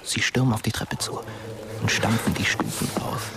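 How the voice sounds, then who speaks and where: A sombre male narrator played by an actor with a lowish, hushed voice that often sinks toward a whisper. He tells a dark Halloween tale in scripted radio drama style over loud music. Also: steady tone, normal speed, lightly acted. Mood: hushed, tense.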